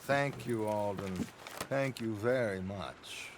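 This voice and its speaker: A man playing a flamboyant, slippery gentleman conman in a game, with pompous, florid and affectedly polite speech. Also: on the low side, slow pace, a little hiss or hum. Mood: smooth persuasion. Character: theatrical, flamboyant gentleman conman, slippery and self-consciously eloquent. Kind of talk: video game cutscene dialogue.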